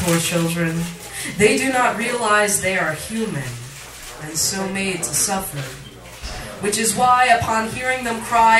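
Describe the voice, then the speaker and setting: A woman reciting poetry at a live reading, with dramatic, deliberate phrasing. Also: mid-range voice. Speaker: poet, featured reader. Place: literary cafe, indoors, live reading. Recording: echoey room recording from far off, audience noise. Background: cafe audience sounds.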